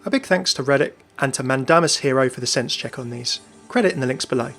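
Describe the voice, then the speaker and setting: A man's voice: medium pitch, fast, a bit bright, soft background music. A man reading scripted narration about video game lore as the narrator of a video.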